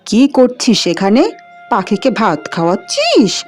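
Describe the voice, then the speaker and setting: A woman voicing a cruel, resentful aunt in an animated fairy tale, scolding and complaining. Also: mid-range voice, measured pace, soft background music. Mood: angry scolding. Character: nagging, cruel aunt resentful of her dependants.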